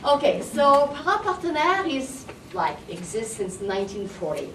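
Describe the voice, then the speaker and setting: A woman's voice: high voice, very animated, normal speed, a bit bright. A woman with a French Canadian accent, French her first language, giving a friendly, self-deprecating talk. She sounds distant from the mic, with a roomy echo and a constant hum of noise behind her. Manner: chatty, self-deprecating, searches for words. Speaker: presenter. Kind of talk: conference presentation. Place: conference room. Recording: echoey hall, distant mic, audience noise.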